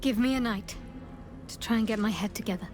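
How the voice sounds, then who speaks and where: An actress playing a grieving, willful young woman in a video game, speaking in a measured, hushed, halting and reflective way. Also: fairly high pitch, music in the background, natural voice. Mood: weary, reflective. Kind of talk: cinematic video game voice acting.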